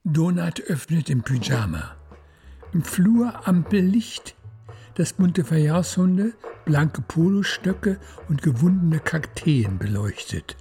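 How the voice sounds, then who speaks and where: A woman's voice: sits low, very animated, slow pace, warm tone, faint music behind. A woman narrating a radio play in scripted literary prose.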